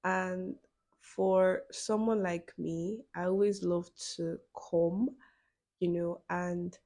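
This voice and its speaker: A young woman talks easily through her solo podcast, her voice husky and grainy with a low edge of fry. She sits on the lower side for a woman and keeps dropping in "you know" as she thinks aloud. It sounds like a friendly, unscripted chat. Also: steady tone, takes it slowly, warm tone. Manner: chatty, many "you know" fillers, laughs between phrases. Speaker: podcast host.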